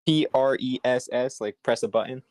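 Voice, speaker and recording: Man, casual meeting participant. Mid pitch, hesitant delivery, dry sound. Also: normal speed, a little mellow, no echo. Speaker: participant in a script table read. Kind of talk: casual group chat.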